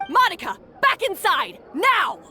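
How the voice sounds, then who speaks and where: A woman's voice: extremely high pitch, huge pitch swings, a little slow, sharp tone, faint music behind, exaggerated voice. A woman playing a harsh, domineering head chef in an anime game, haughty and curt, giving orders. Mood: angry, commanding.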